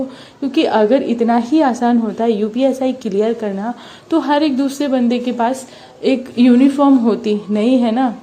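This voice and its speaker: A woman's voice: fairly high pitch, dark tone. Earnest young woman with a sore throat. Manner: earnest, encouraging, mixes English words into Hindi. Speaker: study vlogger, mother preparing for police exam. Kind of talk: casual motivational vlog talk.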